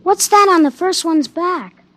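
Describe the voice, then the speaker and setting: A woman's voice: extremely high pitch, unhurried, a bit bright. A woman playing an earnest, curious young boy, a miner's child, in old-time radio drama. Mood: wary, curious. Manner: earnest, questioning. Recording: vintage radio drama recording.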